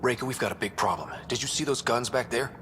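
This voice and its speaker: An actor playing a determined young human space explorer in a video game, brisk and earnest. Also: male voice, medium pitch, a bit bright, big-room sound, slight background noise, close to an everyday voice. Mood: urgent, focused. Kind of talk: naturalistic video game acting.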